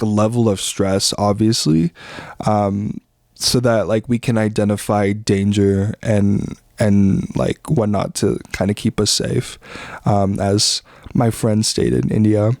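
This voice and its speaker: A young man from the Philippines hosting a podcast, talking in a relaxed, rambling way with lots of fillers and slang. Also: lowish voice, gravelly, no room sound, studio quality. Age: young adult. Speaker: podcast host. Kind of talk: casual reflective monologue.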